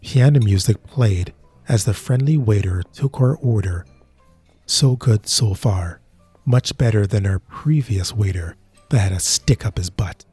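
A man tells the story slowly and carefully. His voice is husky, and his pitch rises and falls a lot. Soft music plays behind him. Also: no echo. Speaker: narrator and lead character. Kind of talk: scripted narration in a short drama.

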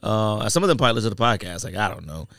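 A man's voice: fairly low pitch, animated, rapid-fire, gravelly, fairly bright tone, no room sound. A man hosting a casual podcast chat, joking and exclaiming in slang, close on a studio microphone. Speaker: podcast host.

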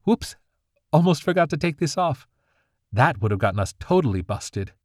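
Man voicing a squeaky young fairy girl. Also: sing-song, normal speed, no room sound, clean studio sound. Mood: excited. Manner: high, squeaky, emphatic.

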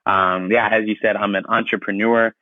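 A young man from Ghana, a podcast guest, telling his story in relaxed casual talk with many fillers. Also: fairly low pitch, normal speed, no echo. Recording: dry tinny phone-like line.

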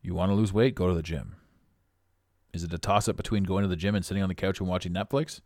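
Deep raspy man, blunt and preachy. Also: steady tone, quick pace, darkish tone, no echo, clean studio sound. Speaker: podcast host. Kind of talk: motivational monologue.

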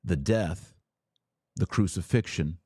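Low-voiced man teaching religion, emphatic. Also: a little mellow, dry recording, studio quality. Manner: emphatic, deliberate phrasing with dramatic pauses; didactic, preacher-like rhetorical questions. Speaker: podcast host.

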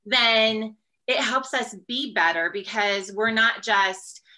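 Bright-voiced woman explaining as a guest. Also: on the high side, takes it slowly. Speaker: podcast guest, educator. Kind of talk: conversational interview.

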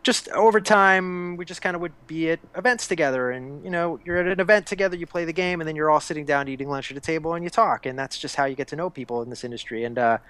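A man's voice: fairly high pitch, fast, few pauses, fairly bright tone, clean tone, no echo. A man in his forties, a games journalist guest, chatting casually and rambling through stories with lots of restarts and self-corrections. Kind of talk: casual podcast chat.

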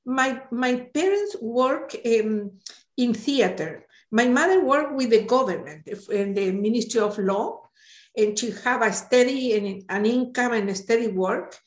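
A woman in her late sixties speaks English with a Chilean Spanish accent. Her voice sits a little high as she looks back on her life as an interview guest. The room adds a clear echo around her. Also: animated, measured pace. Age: late 60s. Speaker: interview guest, retired NGO director. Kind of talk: reminiscing in an interview.

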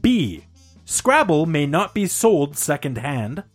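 A man with a fairly high voice that swings widely up and down as he hosts a loose quiz among friends. He laughs often and keeps the banter playful and animated. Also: slow, breathy edge, soft background music. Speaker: quiz host. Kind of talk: casual podcast banter.

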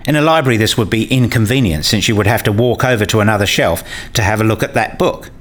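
A man acting in a scripted radio play as an earnest debater who explains his points insistently and didactically. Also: on the low side. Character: earnest, argumentative man debating ideas with a professor.

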